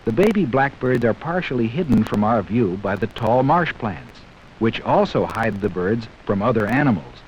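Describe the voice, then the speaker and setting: A man narrating a scripted 1960s educational film in a measured, explanatory way, speaking directly to young viewers. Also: on the low side, sing-song. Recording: dull, hissy 1960s 16mm film soundtrack.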